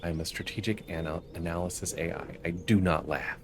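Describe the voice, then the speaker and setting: A man voicing a formal, humorless AI assistant from a science fiction game in a deadpan manner. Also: low voice, a little fast, background noise. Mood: flat, deadpan.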